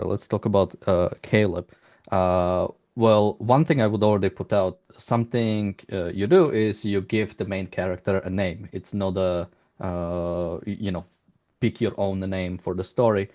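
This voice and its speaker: Deep-voiced man, halting interviewer. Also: darkish tone. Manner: halting, rambling questions with many hesitations. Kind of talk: casual interview.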